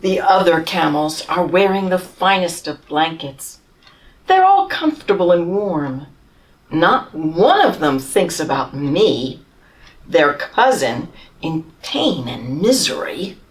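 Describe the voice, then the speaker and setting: A woman's voice: lowish voice, big pitch swings, slow pace, distant mic. A woman reading as a pompous, whining camel. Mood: self-pitying. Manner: grandiose, whining, theatrical complaints. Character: pompous self-pitying male camel. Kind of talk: storybook reading.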